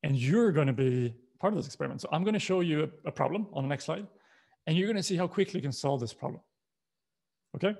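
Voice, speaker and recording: A man's voice: a little high, expressive, quick pace, vocal fry, darkish tone. A man with a Swedish accent presenting a talk in an explanatory manner, posing questions as he goes. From Sweden. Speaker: presenter, coaching co-founder.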